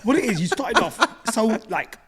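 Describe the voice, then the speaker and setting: Husky, lively London podcast host. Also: male voice, sits high, normal speed. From Newham, East London. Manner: street slang, friendly banter. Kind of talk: casual podcast interview.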